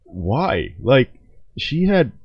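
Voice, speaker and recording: A man's voice: medium pitch, sing-song, drawn-out words, husky, dry recording, lo-fi sound. A man giving casual, hesitant reaction commentary to game cinematics, often repeating words as he thinks aloud.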